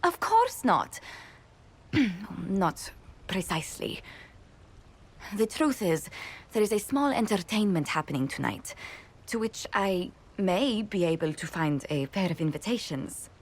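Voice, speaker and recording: An actress playing a refined, gracious diplomatic adviser in a video game, with formal, precise, polite phrasing. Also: female voice, mid-range voice, animated, unhurried, long pauses. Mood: shyly hopeful. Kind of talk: video game dialogue acting.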